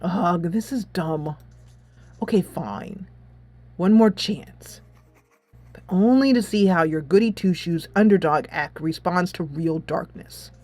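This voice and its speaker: A woman's voice: on the low side, slow pace, a little mellow, dry recording. A woman puts on a sullen, scornful teenage girl for a visual novel game. She drawls dismissively, in a close, dry recording with faint music behind. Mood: grudging contempt. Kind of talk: visual novel character read aloud.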